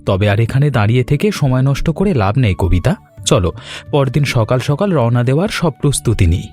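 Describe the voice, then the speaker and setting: A man voicing a polite, good-natured young village husband in an animated Bengali family story, earnest and deliberate. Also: mid-range voice, soft background music, natural voice. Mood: earnest, agreeable.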